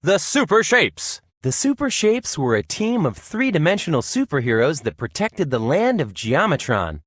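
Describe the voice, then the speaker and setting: Highly animated male storybook narrator. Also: normal speed, no echo, studio quality. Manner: animated, expressive storyteller delivery. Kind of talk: dramatic scripted storytelling for children.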